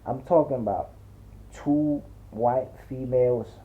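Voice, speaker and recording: A man's voice: mid-range voice, slow pace, lightly acted. A man voicing a brash, boastful male friend in a slangy, blunt audiobook dialogue. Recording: muffled, narrow phone-like sound.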